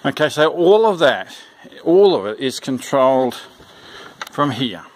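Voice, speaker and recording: A man giving an informal, unscripted instructional walkthrough in a plain-spoken, halting way, repeating his instructions. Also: mid-range voice, sing-song. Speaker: church sound system instructor. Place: indoors in a church.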